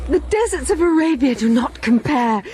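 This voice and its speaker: A woman's voice: high-pitched, expressive, normal speed, mellow, slight background noise. An actress playing a romantic, spirited woman explorer who speaks effusively and lyrically in a radio drama. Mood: awestruck. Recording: 1990s radio drama broadcast over music.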